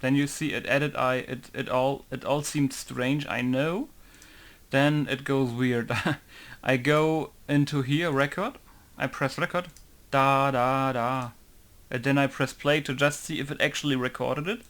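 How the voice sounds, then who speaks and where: A man's voice: medium pitch, fairly even intonation, measured pace, a bit bright. Rambling man thinking aloud in a tutorial. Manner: rambling, thinking aloud, leans on "basically" and "right?". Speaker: game modder walking through a tutorial. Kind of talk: casual screen-recorded tutorial.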